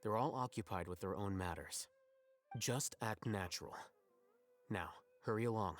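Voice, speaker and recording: Man as a composed, low-voiced game guide. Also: normal speed, faint music behind, close to an everyday voice. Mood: composed, brisk. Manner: formal, matter-of-fact. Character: composed, brisk, knowledgeable male guide. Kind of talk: video game voice acting.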